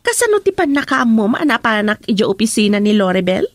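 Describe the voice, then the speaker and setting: A woman's voice: a little high, very animated, quick pace, no room sound. A woman acting a jealous, accusing wife in an emotional Ilocano radio drama. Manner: confrontational, emotional. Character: jealous, accusing wife confronting her husband.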